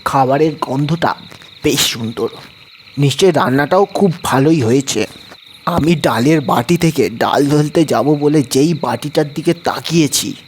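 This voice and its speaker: A man's voice: fairly high pitch, unhurried, a little hiss or hum, close to an everyday voice. A man playing a frightened diner who recounts a haunted hotel in a dramatised horror audio story. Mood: suspenseful narration. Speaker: story narrator and lead actor. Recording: music bed under the voice.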